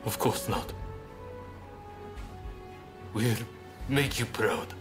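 Measured samurai leader over music. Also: male voice, mid-range voice. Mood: earnest. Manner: measured, formal, guarded. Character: composed, resolute samurai rebel leader in feudal Japan. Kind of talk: video game cutscene voice acting. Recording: dramatic music behind the voice. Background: game score.

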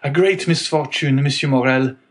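A man voicing an earnest young sailor, pitched high. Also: normal speed, lightly acted. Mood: grave. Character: young male sailor, calm, resolute, grieving.

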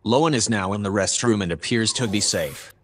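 An actor playing a polite, precise AI observer in an audio drama, speaking in a formal, measured way. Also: male voice, fairly low pitch, a bit bright, slight background noise, lightly acted. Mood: calm, formal. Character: polite, precise male AI observer aboard a spaceship.

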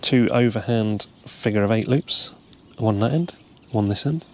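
Relaxed low-voiced angler explaining. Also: male voice, a little slow, a little hiss or hum. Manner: relaxed, explains each step while tying. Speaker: carp fishing angler demonstrating a rig. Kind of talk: casual step-by-step tutorial. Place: outdoors by the water, seated over a tackle box.

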